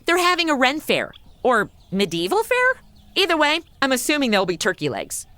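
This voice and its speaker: A woman's voice: high voice, wildly swooping pitch, brisk, pushed and bright, no echo, exaggerated voice. A woman playing a snarky modern wisecracker in a comedy audio drama, speaking in casual, sarcastic asides. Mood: wry, chatty.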